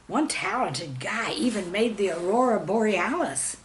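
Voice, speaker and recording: A woman reading a scripted children's story with expressive, dramatic storybook emphasis. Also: medium pitch, measured pace, slight background noise. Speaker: storyteller and author reading own stories.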